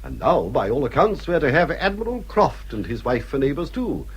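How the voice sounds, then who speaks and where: An actor playing a vain, snobbish elderly English baronet in a period radio drama, blustering and pompous. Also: male voice, medium pitch, very animated, normal speed, breathy edge, full character voice. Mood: self-important, boastful. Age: older.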